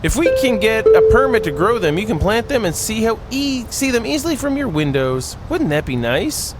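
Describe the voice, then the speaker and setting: A man voicing a kind, encouraging stranger in a visual novel, read in a gentle, coaxing, conversational way. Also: sits high, sing-song, moderate pace, over loud music, pitched above the performer's own voice. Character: kind, encouraging stranger sheltering from a winter storm.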